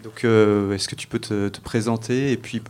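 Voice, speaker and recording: A man's voice: on the low side, fairly even intonation, normal speed, a little mellow, smooth. A man co-hosting a round table, putting questions to guests in unscripted phrases with repeated words. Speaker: radio show co-host, interviewer. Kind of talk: unscripted interviewing.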